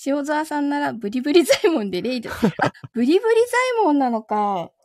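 A woman's voice: high-pitched, very animated, fairly quick, a little mellow, dry recording, clean studio sound. A middle-aged woman chatting casually as a live stream host, joking and laughing easily. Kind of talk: casual post-show chat.

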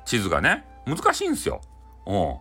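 A man's voice: on the low side, huge pitch swings, a little fast, pushed and bright, soft background music. A man with a Hakata accent playfully retelling a folktale in dialect, improvising as he goes and throwing in sound effects. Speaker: storyteller.

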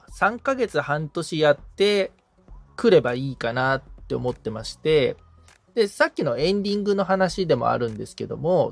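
A man talking casually as the client in a podcast consultation, agreeable and reflective as he thinks aloud. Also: on the high side. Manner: agreeable, frequent backchannels, reflective. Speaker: podcast assistant, client being coached, guitar repairer.

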